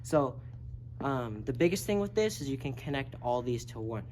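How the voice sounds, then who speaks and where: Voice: medium pitch, animated, moderate pace, darkish tone. A person giving a casual, step-by-step, hands-on tutorial as an instructor. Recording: noisy amateur video recording, background noise.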